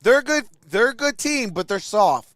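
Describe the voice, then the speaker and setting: High-pitched man calling a game on a livestream. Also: big pitch swings, normal speed, a bit bright, no echo, studio quality. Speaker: sports livestream host. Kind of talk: live reaction play-by-play and chat.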